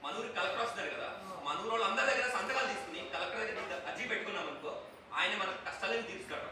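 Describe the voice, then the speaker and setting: A man's voice: sits high, animated, very fast, noisy background. A male stage actor playing a frustrated villager who questions the leaders in an earnest, pleading way, heard from far off in an echoing hall. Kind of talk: live stage play. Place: stage in a theatre space. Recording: echoey hall picked up from far off.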